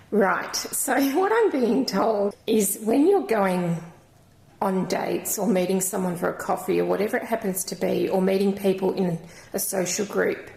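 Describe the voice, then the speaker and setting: A woman with a croaky voice giving a channelled crystal reading in a gentle, rambling, reassuring way. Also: medium pitch. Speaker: intuitive card reader. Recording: echoey room.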